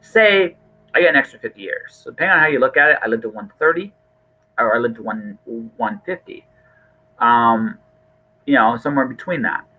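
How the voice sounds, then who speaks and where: A man's voice: medium pitch, lively intonation, brisk, narrow, tinny audio. A man talking casually as a podcast host, earnest and rambling, often restarting his phrases.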